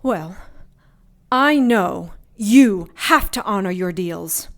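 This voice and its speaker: A woman playing a defiant, sharp-tongued heroine in an audio drama, sarcastic and deliberate in manner. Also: medium pitch, expressive. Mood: wry, bargaining. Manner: sarcastic, deliberate, bargaining coolly.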